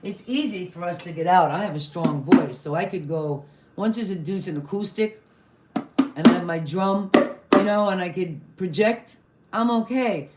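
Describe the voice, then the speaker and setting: A woman's voice: lowish voice, moderate pace, roomy sound, very noisy. Chatty woman hosting a live stream. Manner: chatty, rambling, apologetic, laughs often. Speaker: host. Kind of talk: casual live-stream interview. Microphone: live-stream video call.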